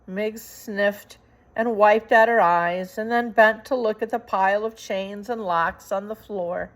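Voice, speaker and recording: A woman reading a children's story aloud as narrator, with dramatic, deliberate phrasing. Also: medium pitch, fairly even intonation. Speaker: storybook reader.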